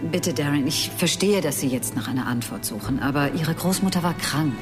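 Apologetic doctor in a crime audio play, lowish voice, hesitant, music behind her. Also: expressive, a little fast. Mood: sorrowful, placating. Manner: soothing, hesitant. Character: apologetic, placating female doctor. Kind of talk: radio crime drama acting.

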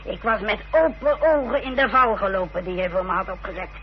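A woman's voice: medium pitch, lively intonation, brisk, lightly acted. An actress playing an unrepentant village wife in a Dutch radio play, telling her story in a self-justifying way. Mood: bitter, regretful. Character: unrepentant, defiant village wife confessing her part in a fraud. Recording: dull old radio recording with hiss and hum.

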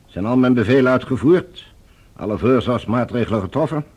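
Husky, commanding Martian ruler. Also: male voice, medium pitch, animated, darkish tone. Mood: formal, commanding. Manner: formal, imperious, measured. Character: commanding, cold, suspicious male leader of Mars. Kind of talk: science fiction radio drama acting.

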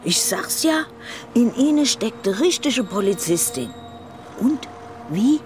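An actress playing a musing police colleague in a radio play. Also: female voice, fairly high pitch, sing-song, takes it slowly, a little mellow, soft background music. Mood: musing, approving. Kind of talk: radio drama acting.

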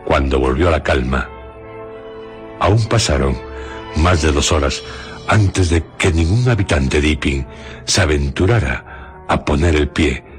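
A man's voice: extremely low pitch, animated, a little slow, long pauses. A man narrating a story as the scripted narrator of a radio drama. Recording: loud music behind voice.

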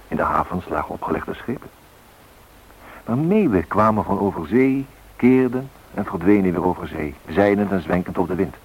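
An actor playing a solemn, poetic storyteller narrating a radio drama with dramatic emphasis and a husky voice. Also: male voice, low voice, exaggerated voice. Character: solemn poetic male storyteller of flight myths. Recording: muffled old radio recording.

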